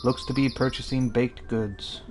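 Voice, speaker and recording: Game master for a tabletop session, mid-pitched, hesitant and wordy, light music with chirping birds underneath. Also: fairly even intonation. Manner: halting, roundabout phrasing. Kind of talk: casual tabletop game narration.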